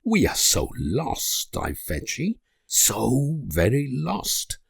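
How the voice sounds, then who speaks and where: An actor playing an idealistic, world-weary diplomat, delivering grave, deliberate speeches and letters in a strategy game. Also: male voice, medium pitch, huge pitch swings, vocal fry, slightly rough, no echo, studio quality, exaggerated voice. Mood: bleak sorrow.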